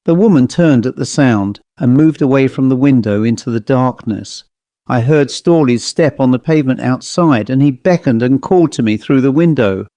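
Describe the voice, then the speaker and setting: A man narrating a ghost story as an audiobook, with a measured, literary delivery. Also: medium pitch, mellow, dry recording.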